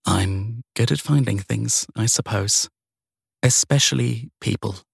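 A man voicing a bold, steely woman detective in an audiobook, speaking in a defiant, pointed, deliberate way. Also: on the low side, creaky, breathy edge, no room sound, studio quality, heavily acted. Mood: smug. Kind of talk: audiobook character dialogue.

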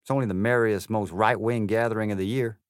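An actor playing a tall, brash male cowboy hare who talks in a slow drawl. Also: fairly low pitch, steady tone, clean tone, no echo, clean studio sound, close to an everyday voice.

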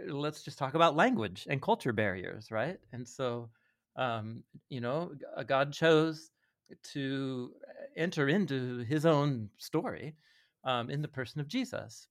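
A man with a mid-pitched voice explains ideas as a podcast guest. He speaks like a teacher in conversation, thoughtful and earnest, often with a small chuckle. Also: expressive, a little slow.

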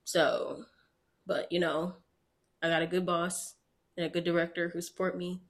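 Woman cohost just chatting, animated storyteller, talks fast in bursts, laughs a lot. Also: lowish voice. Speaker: podcast co-host. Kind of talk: casual chat.

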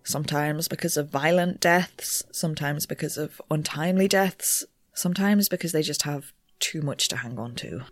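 An actress playing an eager, nervy young amateur ghost researcher, talking in wry, rambling audio notes for an audio drama. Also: female voice, low-pitched, normal speed, few pauses, dry recording, soft background music, natural voice. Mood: earnest, explanatory. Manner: rambling, wry, animated asides.

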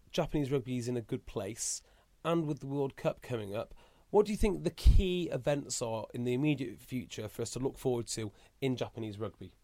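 A man hosting an interview podcast, asking measured follow-up questions. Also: medium pitch, a little mellow, dry recording. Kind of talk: conversational interview.

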